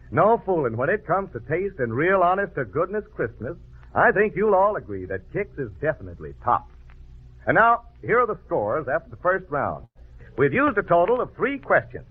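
A man announcing in 1940s radio commercial style, selling emphatically in a folksy way. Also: breathy edge. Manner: emphatic, folksy sales pitch. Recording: tinny vintage radio broadcast.

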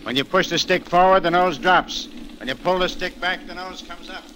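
An actor playing a flight instructor in a 1940s radio drama, explaining things in a measured, instructional way. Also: male voice, sits high, bright, forceful tone. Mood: instructive. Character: instructive man explaining airplane controls. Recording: noisy old radio recording, engine-like noise.

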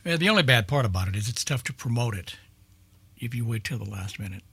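A man's voice: medium pitch, animated, fast, fairly bright tone, husky, dry recording. A man in casual group conversation, talking as a co-host of an old-time radio program.

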